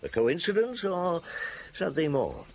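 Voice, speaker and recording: An actor playing a shrewd, probing middle-aged police chief inspector in a radio drama. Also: male voice, a little high, wildly swooping pitch, moderate pace. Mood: musing. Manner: probing, full of hms and short questions. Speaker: actor playing the lead detective, also narrating. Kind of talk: radio drama acting and first-person narration. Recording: noisy old radio broadcast.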